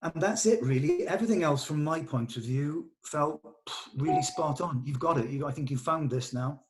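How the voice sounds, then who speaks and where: A man's voice: fairly high pitch, moderate pace, soft background music. A man directing a read-through, giving actors encouraging notes in conversation and often rephrasing as he goes.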